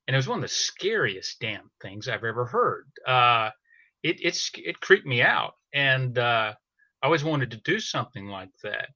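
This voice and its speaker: Middle-aged male podcast guest: forward, bright voice, casual delivery, dry and slightly dull recording. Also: medium pitch, sing-song, normal speed, dry recording. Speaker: podcast guest, audio drama producer. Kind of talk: casual interview talk.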